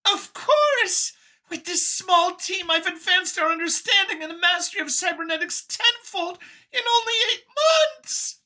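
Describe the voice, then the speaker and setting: A fanatical alien scientist, female, extremely high-pitched, whiny, nervous, over-eager, sharp, science fiction audiobook character. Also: lively intonation, measured pace, heavily acted. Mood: excited, boastful. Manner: gushing, over-eager justifying.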